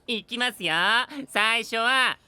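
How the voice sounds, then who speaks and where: A man's voice: very high-pitched, takes it slowly, bright, forceful tone, clear, resonant tone, no room sound, full character voice. An actor playing a polite, playful young male knight with courteous, theatrical delivery in an anime-style voice drama.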